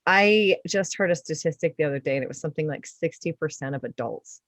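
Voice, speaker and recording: A woman podcast host with a slightly low voice, speaking casually and with effusive emphasis. She sounds enthusiastic as she interviews, in a dry recording with very little room sound. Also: fast talker, dry recording.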